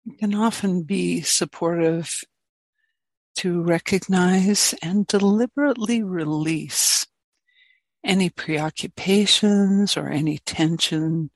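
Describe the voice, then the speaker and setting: A woman teaches guided meditation in a fairly low voice, very slowly. Her gentle phrases are short and set apart by long silences, captured in a dry, close-sounding recording. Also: no echo.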